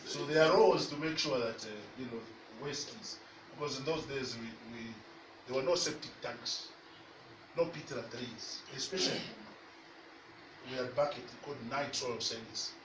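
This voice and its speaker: An older man with a Zambian accent recollecting informally in a halting, rambling way. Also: mid-range voice. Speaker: environmentalist. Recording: noisy, echoey, distant mic.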